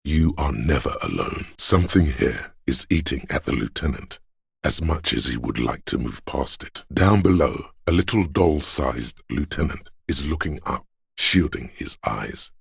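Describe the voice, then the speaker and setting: A man playing a solemn, brotherly inner voice of police instinct in a video game, narrating in a measured, brooding, literary way with a gravelly, husky tone. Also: extremely low pitch, animated, dry recording, clean studio sound, heavily acted. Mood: solemn, concerned. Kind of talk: scripted second-person game narration.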